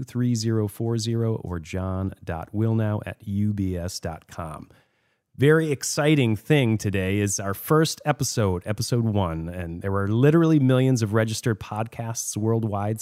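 A younger man hosting a podcast, reading a clear scripted intro and then asking easy questions. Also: fairly low pitch, a little mellow. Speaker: podcast host. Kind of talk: podcast interview.

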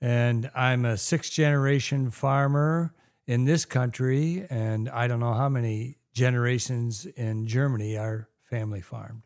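An older man from Ohio chats as a podcast guest. He tells his stories plainly and without hurry. He often pauses and restarts as he thinks. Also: medium pitch, steady tone, darkish tone. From Ohio, USA. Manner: plain-spoken, unhurried storytelling with hesitations. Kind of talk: casual interview chat.

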